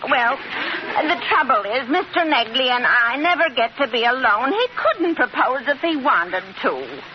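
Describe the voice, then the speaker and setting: An actress playing a lovelorn, naive and nervous housemaid in a 1950s radio sitcom, speaking plaintively and earnestly. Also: female voice, sits high, sing-song, measured pace. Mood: glum, plaintive. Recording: muffled, noisy old radio recording.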